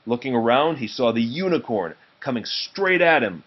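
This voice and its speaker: A man reading a children's storybook aloud in an expressive narrating style. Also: medium pitch, moderate pace, lo-fi sound. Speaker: storybook reader.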